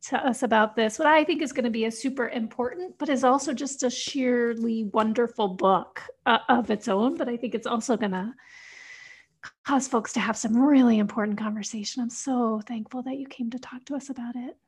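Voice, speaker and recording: A woman's voice: on the high side, fairly quick, husky, dry recording. An American woman hosting a podcast, speaking in an appreciative, effusive manner. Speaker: podcast host. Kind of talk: podcast interview hosting and sign-off.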